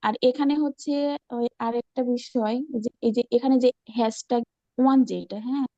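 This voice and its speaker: A woman's voice: fairly high pitch, fairly even intonation, brisk, dark tone, dry recording, dull audio. A chatty woman with a Bangladeshi accent explaining and repeating herself in a casual screen-share discussion on an online call. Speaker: online class participant presenting her channel.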